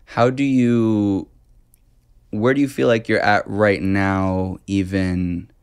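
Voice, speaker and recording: A man with a fairly low voice hosts a relaxed sit-down interview. He asks curious, rambling questions and often restarts mid-phrase. The sound is close and dry. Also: slow pace, smooth, no echo. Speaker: podcast host. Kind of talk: casual interview.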